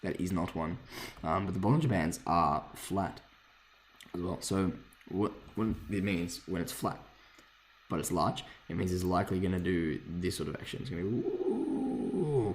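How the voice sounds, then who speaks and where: A man with a hoarse voice casually thinking aloud while reviewing trading charts, rambling, swearing freely and correcting himself. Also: low voice, moderate pace. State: hoarse, lost voice from screaming. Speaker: forex trader reviewing charts.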